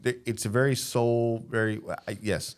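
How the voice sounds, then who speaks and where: A man's voice: mid-range voice, little pitch movement, normal speed, clean tone. A man co-hosting a casual podcast, giving opinions emphatically, repeating himself and often checking in with "right?". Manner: emphatic, repetitive, often tagging statements with "right?". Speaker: podcast co-host. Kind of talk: casual opinionated panel chat.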